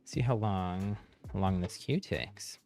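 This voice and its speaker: A man's voice: low-pitched, takes it slowly, vocal fry, darkish tone, faint music behind. A man chatting casually on a game livestream in a rambling, easygoing way. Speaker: live streamer.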